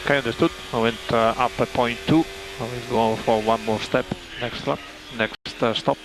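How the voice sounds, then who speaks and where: A man's voice: medium pitch, steady tone, moderate pace. A man working as a race engineer, giving terse, clipped technical instructions and numbers over team radio. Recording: noisy motor racing radio link.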